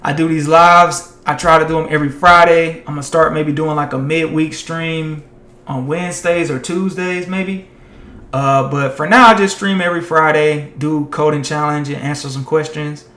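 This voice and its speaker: A man streaming tech content live, talking loosely and chatting with viewers, his voice tiring and going hoarse after hours of talk. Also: on the high side, steady tone, moderate pace, bright, forceful tone, reverberant. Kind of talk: casual live-stream chat.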